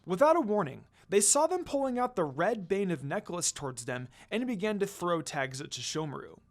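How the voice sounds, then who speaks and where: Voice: medium pitch, big pitch swings, normal speed. A fan fiction reader narrating a story in a dramatic reading style.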